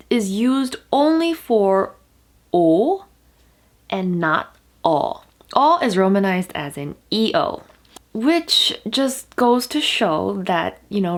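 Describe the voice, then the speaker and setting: A young woman whose first language is Korean teaches pronunciation to the camera in a casual, chatty way. She explains patiently, pausing on fillers and restarting her sentences. Now and then she holds a vowel out long to demonstrate it. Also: medium pitch. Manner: draws out vowel sounds as demonstrations.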